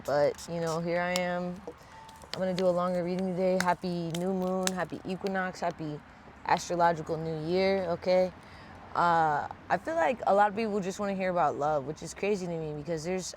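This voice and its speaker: Casual tarot vlogger, congested, mid pitch, laid-back, swears freely, faint hum of noise behind. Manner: casual, blunt and profane. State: congested from a sinus infection. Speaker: tarot reader. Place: at home.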